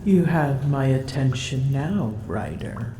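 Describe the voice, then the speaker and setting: A woman's voice: a bass voice, expressive, slow pace, noisy background, full character voice. A woman voicing a haughty, drowsy and grumpy female dragon in an ASMR roleplay, speaking in formal old-fashioned phrasing and often whispering. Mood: haughty, amused. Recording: roomy echo.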